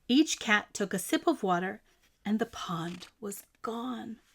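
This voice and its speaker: A woman reading a picture book aloud as a storyteller, expressive and emphatic, with deliberate phrasing for children. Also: medium pitch, husky.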